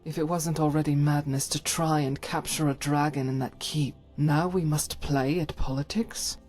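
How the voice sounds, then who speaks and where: Woman as a low-voiced, devout warrior companion. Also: steady tone, normal speed, a little mellow, faint music behind. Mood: exasperated. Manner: solemn, formal archaic phrasing. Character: devout, stern female warrior follower, solemn and suspicious. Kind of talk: scripted fantasy game companion dialogue.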